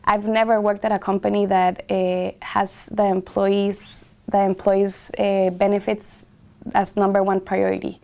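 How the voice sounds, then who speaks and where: A young woman from Guatemala talks about her internship in an interview. Her voice is smooth and clear, and she pauses often with small fillers as she finds her words. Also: mid-range voice, little pitch movement, warm tone. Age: young adult. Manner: hesitant, frequent fillers. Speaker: graduate student intern. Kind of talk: interview testimonial.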